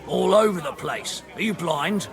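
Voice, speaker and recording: A snappy, gravelly medieval servant. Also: male voice, high-pitched, animated, measured pace, crowd noise, full character voice. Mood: irritated, sarcastic. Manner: snappy, sarcastic. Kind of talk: video game cutscene acting.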